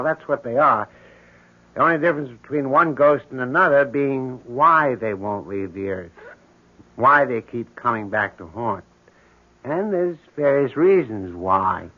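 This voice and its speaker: A man's voice: medium pitch, expressive, unhurried. Eerie matter-of-fact handyman, 1970s radio drama; mid-range voice, narrow tinny audio. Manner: casual, matter-of-fact, brooding. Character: odd, morbid building handyman obsessed with ghosts. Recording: thin, tinny old radio broadcast.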